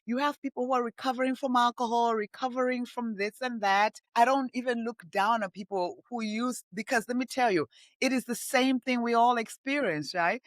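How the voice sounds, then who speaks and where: A woman's voice: a little high, normal speed, no room sound. A middle-aged woman from Tanzania and Zambia, a podcast guest who talks earnestly and from the heart. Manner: earnest, heartfelt, repeats herself for emphasis. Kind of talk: reflective interview talk.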